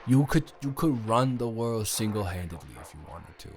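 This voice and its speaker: Casting: drunk, sappy young male roommate played by a man, lowish voice, stumbling sincere delivery, intimate audio drama, noisy ambience behind. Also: expressive, moderate pace, darkish tone. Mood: earnest, lovestruck. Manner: stammering, rambling, repeating words, tipsy. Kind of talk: intimate roleplay audio drama, addressing the listener.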